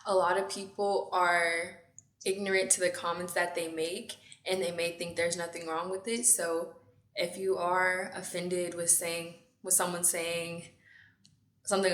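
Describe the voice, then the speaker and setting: An eighteen-year-old woman, a student, giving earnest, steady interview answers. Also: lowish voice. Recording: echoey room.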